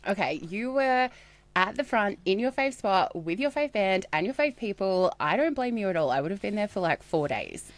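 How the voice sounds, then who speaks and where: Playful Australian woman co-host, lively pitch. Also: normal speed, dry recording. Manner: playful, teasing. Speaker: podcast co-host. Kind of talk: casual chat.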